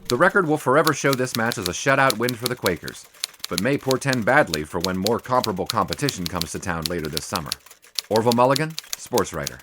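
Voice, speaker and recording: An actor playing a young 1920s college sportswriter who narrates in ornate prose and banters teasingly. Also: male voice, lowish voice, lively intonation, fast, background noise, natural voice. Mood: narrating. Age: young adult. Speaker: student sports writer. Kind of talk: 1920s radio drama. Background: music.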